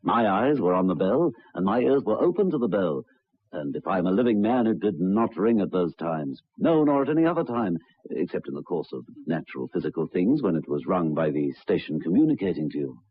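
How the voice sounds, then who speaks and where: An actor in an old radio drama playing a rational, skeptical gentleman visitor with a measured, formal, probing manner. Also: low voice, very animated, husky, exaggerated voice. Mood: skeptical, reassuring. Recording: dull lo-fi old radio recording.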